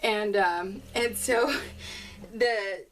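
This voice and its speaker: A woman vlogger chatting casually and ramblingly as she crafts, telling everyday stories in an informal, giggly, animated way. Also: mid-range voice, extremely slow, far from the mic, rough recording. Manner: giggly, animated storytelling with exclamations. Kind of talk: casual rambling chat while crafting, voiced in real time.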